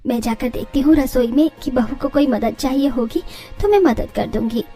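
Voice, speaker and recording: Woman acting a high-voiced kindly older matriarch. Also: normal speed, dark tone, soft background music, lightly acted. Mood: patient, caring. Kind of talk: cartoon family-story acting.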